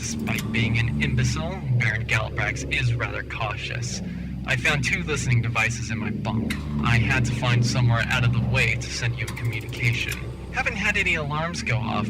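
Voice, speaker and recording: A man playing a cunning, condescending schemer in a sci-fi audio drama, speaking in a calculating, deliberate manner. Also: mid-range voice, heavy background noise, close to an everyday voice. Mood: calculating, smug. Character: cunning, condescending male schemer plotting betrayal.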